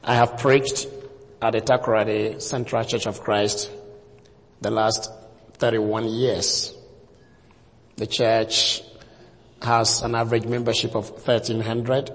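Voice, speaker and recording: A Ghanaian man in his fifties, a visiting preacher speaking to a church congregation, slow and deliberate, leaning on key words. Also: lowish voice, level tone. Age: 50s. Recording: long reverb of a hall.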